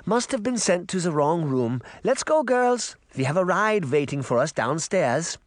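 Man voicing a high-pitched German-accented old granny. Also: big pitch swings, measured pace, no echo, studio quality. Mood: brisk. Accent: light German. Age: older. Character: kindly old grandmother with a light German accent. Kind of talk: audiobook character voice.

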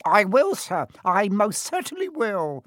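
A man voicing a wicked, mischievous poltergeist in a storybook, with singsong, fawning, drawn-out phrasing. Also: sits high, wildly swooping pitch, fairly bright tone, no echo, studio quality, voice pushed up, exaggerated voice. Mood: greasy fawning.

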